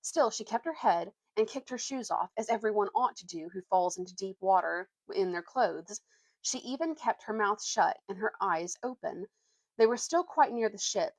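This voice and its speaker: Female storyteller, medium pitch, expressive read-aloud, children's book narration, dry close recording. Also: moderate pace, no room sound. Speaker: storyteller reading aloud to viewers.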